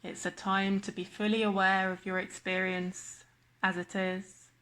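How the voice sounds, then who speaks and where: A woman guiding a body scan meditation in a slow, measured manner with long pauses. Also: medium pitch, little pitch movement, pushed and bright, rough recording. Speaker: meditation guide.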